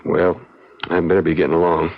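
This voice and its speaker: An actor playing a calm young frontier lawyer, measured, folksy and probing, in an old-time radio drama. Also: male voice, low-pitched. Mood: thoughtful. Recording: dull lo-fi radio drama recording.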